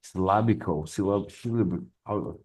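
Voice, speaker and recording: A man's voice: low voice, a little slow, a little mellow, breathy edge. A man with a Brazilian accent hosting a casual podcast chat, playful, laughing often and stumbling over words. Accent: Brazilian Portuguese. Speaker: podcast host and English teacher.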